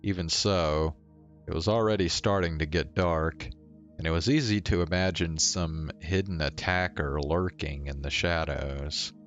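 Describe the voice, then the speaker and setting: A man with a low voice narrates a visual novel in first person, giving it a dramatic storytelling delivery. The sound is slightly dull and lo-fi, with faint music behind him. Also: measured pace. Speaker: streamer reading a visual novel aloud. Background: faint game music.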